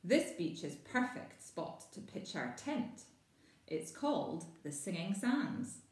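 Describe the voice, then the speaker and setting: Lady doing a cheery reassuring storybook mum, measured and expressive, room echo. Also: lowish voice, far from the mic. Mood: matter-of-fact.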